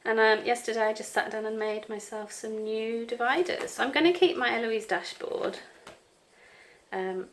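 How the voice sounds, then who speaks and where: A middle-aged woman with a croaky cold voice, chatting casually and apologetically at her craft desk, with lots of ums. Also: on the high side, normal speed, a little hiss or hum. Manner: rambling, apologetic, frequent ums. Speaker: planner vlogger. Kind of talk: casual chat over crafting. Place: home craft desk, indoors.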